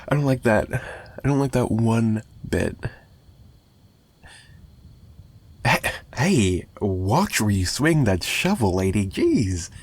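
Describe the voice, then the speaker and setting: A man's voice: fairly low pitch, creaky, darkish tone, breathy edge, full character voice. A man playing a possessive, menacing mafia boss in an ASMR roleplay, taunting the listener with theatrical mock sweetness and threats. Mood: taunting, irritated. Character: possessive, menacing young male mafia boss, obsessive kidnapper. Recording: noticeable background noise.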